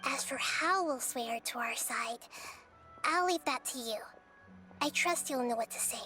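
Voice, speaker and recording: A small girl deity in a video game, with a high, gentle voice. She sounds wise and measured, thinking each plan through calmly as music plays behind her. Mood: discreet, trusting. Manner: measured, thoughtful, explanatory. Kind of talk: video game character dialogue.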